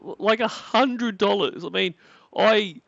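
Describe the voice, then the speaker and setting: A man's voice: high voice, measured pace, gravelly, fairly bright tone, dry recording. A man cracking jokes and laughing often as co-host of a stream reaction. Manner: mocking, laughs a lot. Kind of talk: casual banter. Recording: dull, dry home mic.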